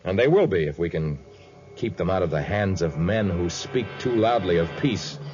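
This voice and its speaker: A man playing a calm, commanding jungle hero in an authoritative, deliberate 1950s radio adventure style. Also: deep voice, music in the background. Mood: grave, assured. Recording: dull vintage 1950s radio recording.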